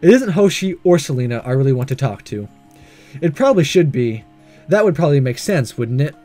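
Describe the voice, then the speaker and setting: A man voicing an earnest, nervous, lovestruck male high school student in a visual novel, speaking hesitantly. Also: sing-song, fairly quick, dark tone, faint music behind, natural voice. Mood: earnest, pondering. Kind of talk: reading visual novel dialogue aloud.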